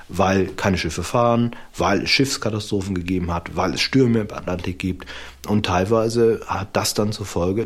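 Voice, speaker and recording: Really deep raspy-ish guy, expert interview, explaining stuff point by point, lecturer-like. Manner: didactic, emphatic repetition and listing.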